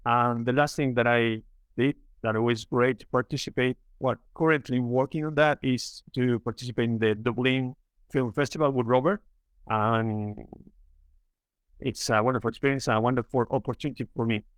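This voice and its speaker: A young man with a Mexican Spanish accent, talking English in an eager, halting way, with lots of fillers and restarts. His voice is smooth and resonant. The sound is dry and close, with no room around it. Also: mid-range voice, steady tone, no room sound. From Mexico City. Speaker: filmmaker guest. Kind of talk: podcast interview.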